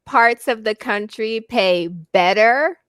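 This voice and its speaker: A woman's voice: a little high, a bit bright, clean tone, dry recording, studio quality. An American woman tutoring English over a video call, friendly and given to easy laughter. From United States. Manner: slow, friendly, laughs often. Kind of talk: casual video-call conversation.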